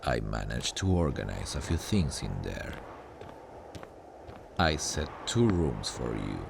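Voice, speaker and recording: A man playing a sardonic demon guardian of hell in a slow ASMR roleplay, smug and dryly menacing, with a very deep, gravelly voice. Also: big pitch swings, heavily acted. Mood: calm, protective. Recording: noisy ambient sound bed. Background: hellish ambience.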